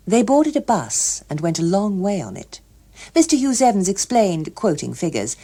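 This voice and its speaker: A British woman reads literary fiction as an audiobook narrator. Her voice is slightly low and a little husky, with a very lively, expressive rise and fall.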